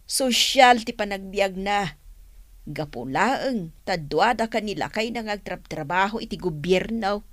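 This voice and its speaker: A woman plays a plain-living, nosy auntie with a northern Philippine Ilocano accent. Her delivery takes its time yet swings widely in pitch, full of exclamations. It is expressive radio comedy drama acting. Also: a little slow, no room sound, natural voice. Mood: gossiping. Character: nosy, plain-living older aunt, admiring and advising.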